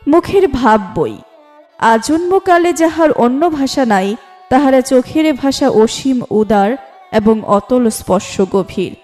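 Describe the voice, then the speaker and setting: High-voiced woman narrating a story over music. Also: darkish tone. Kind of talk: scripted literary story narration. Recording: music bed behind the voice. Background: background music.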